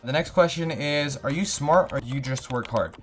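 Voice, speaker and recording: A man's voice: mid-range voice, normal speed, soft background music. A man in his twenties from Canada, chatting eagerly to camera in a casual vlog as he reads out viewer questions and answers each one at length. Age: 20s.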